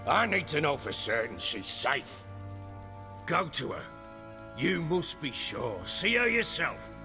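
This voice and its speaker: An actor playing a gruff, grieving, volatile middle-aged baron in a dramatic video game, brooding and blunt. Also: male voice, a little high, sing-song, slow, over music. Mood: agitated, demanding. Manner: brooding, blunt, agitated when pressed.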